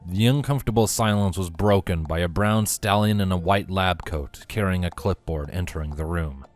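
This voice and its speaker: A man's voice: low-pitched, moderate pace. A man reading a scripted story as its narrator. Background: music.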